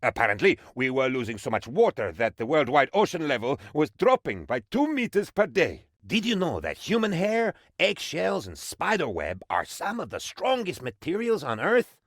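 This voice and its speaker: A man voicing an excitable, pompous and dim-witted sycophantic aide to a dictator in a video game, with theatrical, naively enthusiastic delivery. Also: on the high side, moderate pace, hardly any pauses, no room sound, studio quality, full character voice. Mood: earnest, naive. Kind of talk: video game character voice lines.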